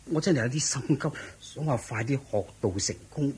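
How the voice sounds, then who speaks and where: An actor playing a sickly, anxious monk disciple in a Cantonese radio drama, speaking deferentially and earnestly. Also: male voice, medium pitch, brisk, very noisy, close to an everyday voice. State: sickly, prone to coughing.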